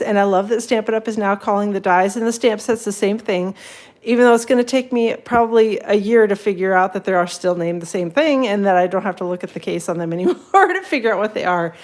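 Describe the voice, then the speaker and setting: A chatty woman runs a crafting livestream, a little sniffly from a cold. She rambles between tips, greets viewers by name and laughs easily. Her voice sits at an ordinary middle pitch. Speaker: papercraft livestream host. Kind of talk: casual livestream demo.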